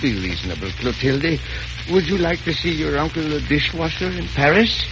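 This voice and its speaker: Bumbling older count, wheedling, lively voice, old noisy muffled radio show. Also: male voice, fairly high pitch, moderate pace. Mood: coaxing. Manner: bumbling, coaxing, hesitant. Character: blustering, wheedling older uncle, a scheming count. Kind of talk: old-time radio comedy acting.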